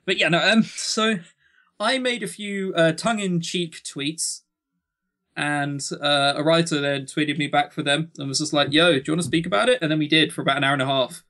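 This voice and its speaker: He is a young man in his early twenties with a fairly high voice. He talks casually as a podcast co-host, joking as he goes. His banter is tongue-in-cheek and often at his own expense. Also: fairly even intonation, normal speed, sharp tone.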